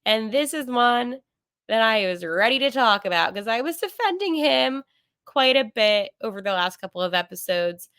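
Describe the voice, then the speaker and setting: A young woman hosts a sports podcast from home with a bright, crisp voice. She talks in a loose, animated way, full of fillers and quick corrections. The sound is close and dry. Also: fairly high pitch, no room sound. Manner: chatty, animated, many fillers and self-corrections. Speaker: podcast host. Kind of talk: casual sports podcast monologue.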